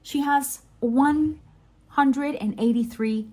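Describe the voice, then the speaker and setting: A woman with a Mexican accent, a native Spanish speaker, telling an anecdote in a casual, chatty way, animated and often interrupting herself with asides. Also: sits high, takes it slowly. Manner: animated, digressive, self-interrupting. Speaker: vlogger and crafter, telling work anecdotes.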